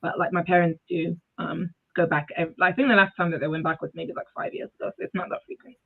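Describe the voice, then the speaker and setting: A woman's voice: lowish voice, lively intonation, fast, vocal fry, husky. A young woman from Zimbabwe, a guest on a video-call interview, who talks hesitantly with many fillers and laughs often. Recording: tinny phone-like audio.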